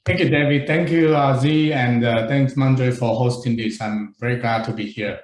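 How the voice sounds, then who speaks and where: A man's voice: medium pitch, normal speed, a little hiss or hum. Man in an echoey room. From Taiwan. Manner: relaxed, joking, slight grammar slips. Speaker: interview guest, investor.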